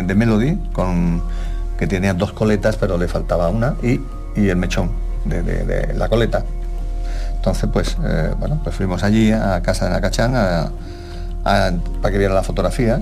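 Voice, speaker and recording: A man giving a spontaneous interview recollection, halting and repeating his words as he goes. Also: low-pitched, gravelly, a little mellow. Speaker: interviewed witness.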